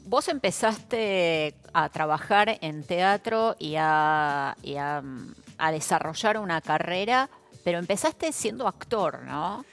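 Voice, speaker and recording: A woman's voice: lowish voice, lively intonation, takes it slowly, fairly bright tone, soft background music. A middle-aged woman with a Buenos Aires accent, interviewing conversationally as host in a TV studio. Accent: Argentine, Buenos Aires.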